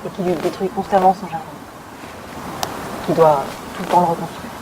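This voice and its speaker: A woman's voice: fairly low pitch, fairly even intonation, normal speed, background noise, pitched below the performer's own voice, natural voice. A woman playing a woman who earnestly talks about having been married to a narcissist. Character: woman once married to a narcissist, reading on her therapist's advice.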